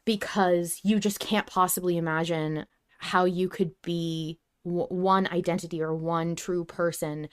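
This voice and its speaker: A woman's voice: fairly low pitch, fairly even intonation, unhurried, clear, resonant tone. A woman around her thirties co-hosting a casual fan discussion podcast, speaking earnestly and emphatically in bursts. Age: 30s. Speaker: podcast co-host.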